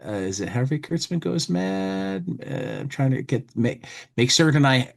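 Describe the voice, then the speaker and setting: A man hosting a podcast in casual chat, rambling and halting, often restarting his phrases. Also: mid-range voice, very animated, creaky. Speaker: podcast host.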